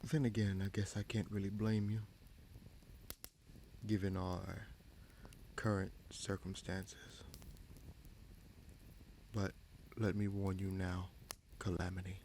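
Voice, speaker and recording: A man playing a scheming sorcerer prince in a fantasy audio roleplay, smug and coolly menacing, with a gravelly voice and slow, deliberate, taunting delivery. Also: sits low, level tone, mellow, slight background noise, low-quality recording. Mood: dry warning. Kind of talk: fantasy audio roleplay, dramatic monologue addressed to listener.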